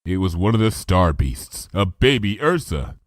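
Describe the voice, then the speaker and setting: Man reading a story with lively swings. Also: low voice, moderate pace, no room sound, studio quality. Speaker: fanfiction reader. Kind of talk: dramatic audiobook narration.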